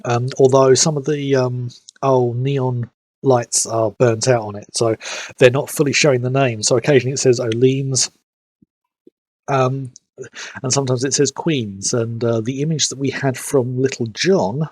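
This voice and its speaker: Sniffly man, breathy voice. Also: medium pitch, fairly even intonation, darkish tone, no room sound. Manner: rambling, fills pauses with "um". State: sniffly from hay fever. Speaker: game streamer. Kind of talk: casual live-stream commentary.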